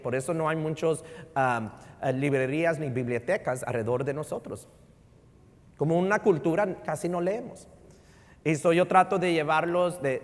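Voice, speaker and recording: Brief: middle-aged Texan Spanish-accented male preacher, high voice, church reverb. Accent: Texan Spanish with English code-switching. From Houston, Texas. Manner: animated preaching, mixes Spanish and English. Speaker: pastor. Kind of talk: sermon. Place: church sanctuary.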